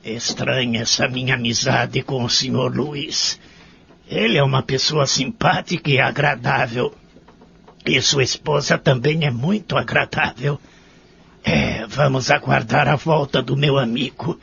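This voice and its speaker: A man acting a lonely, remorseful old man in a care home in a radio drama, with a gravelly, husky voice and a Brazilian accent. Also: mid-range voice, fairly even intonation, measured pace, a crowd in the background. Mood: polite, conversational. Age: older. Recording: muffled, lo-fi audio drama recording.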